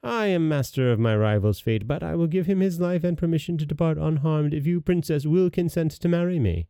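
Storybook villain: male reader as wicked little dwarf, gloating, raised pitch, soft-edged tone, dry recording. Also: a little fast, clear, resonant tone, no room sound, natural voice.

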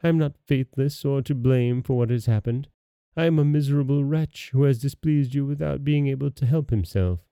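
Storybook hero king, male narrator acting: lovelorn, earnest, devoted, very mellow, dry sound. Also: medium pitch, normal speed, clear, resonant tone, no echo, voice pushed up, close to an everyday voice. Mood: pleading.

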